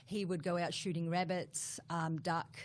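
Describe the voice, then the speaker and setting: A woman's voice: on the low side, level tone, unhurried, slight background noise. A middle-aged woman with a New Zealand accent, talking casually as an interview guest, full of fillers and repeated words.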